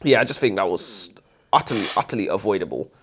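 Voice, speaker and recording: A man's voice: lowish voice, lively intonation, quick pace, fairly bright tone, a little hiss or hum. British guy chatting books with a mate, opinionated and jokey, voice jumps up and down a lot. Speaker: book review co-host. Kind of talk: casual banter and book review.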